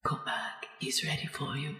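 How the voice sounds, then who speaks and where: A woman's voice: sharp tone, natural voice. An actress playing a menacing, bloodthirsty undead old woman in a radio horror drama, speaking insistently and often whispering. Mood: sinister, coaxing. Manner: slow, insistent, breathy whispering and gloating. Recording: long echoing reverb with music behind.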